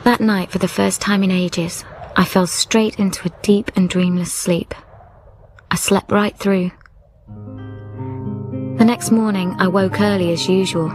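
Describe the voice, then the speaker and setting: An actress playing a grieving young widow, narrating a radio drama in a measured, lyrical, introspective way. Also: female voice, mid-range voice, steady tone, darkish tone, close to an everyday voice. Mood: reflective, absorbed. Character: young widowed woman, grieving, reflective and solitary. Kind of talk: scripted first-person radio drama narration. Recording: music behind the narration.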